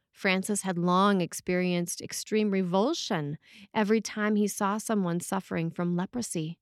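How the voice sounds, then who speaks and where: A woman priest reads a scripted sermon in a measured, deliberate way, with pauses between phrases. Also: medium pitch, a little mellow, dry recording, studio quality.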